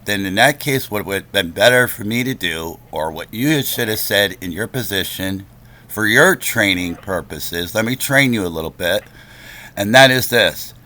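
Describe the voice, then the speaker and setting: A man's voice: lowish voice, animated, moderate pace, sharp tone. A man making a complaint on a phone call, speaking in an insistent, confrontational, lecturing manner.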